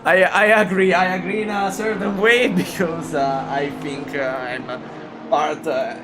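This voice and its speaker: Excited Italian-accented race commentator. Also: male voice, fairly high pitch, noisy background. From Italy. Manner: halting English full of fillers, excited rises at battles. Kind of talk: live sim racing commentary.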